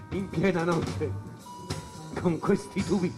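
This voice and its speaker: An actor playing an ailing jazz musician in a dramatic stage monologue, looking back on wild nights from a hospital bed. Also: male voice, sits high, drawn-out words, music in the background. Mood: bitter amusement. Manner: dramatic, swinging between brooding and excited.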